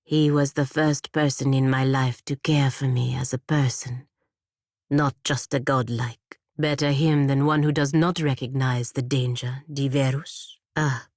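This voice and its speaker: An actress playing a proud, duty-bound godlike knight in a video game, speaking formally and gravely. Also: female voice, rumbling low, fairly even intonation, a little slow, darkish tone, no room sound, studio quality, heavily acted. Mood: earnest, reflective.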